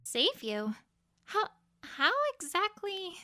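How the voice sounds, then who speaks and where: Young female unicorn, played by a woman: guilty, earnest, emotive, with a bright, animated delivery for story dialogue. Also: very high-pitched, slow, full character voice. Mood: troubled, guilty. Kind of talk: acted audio story dialogue.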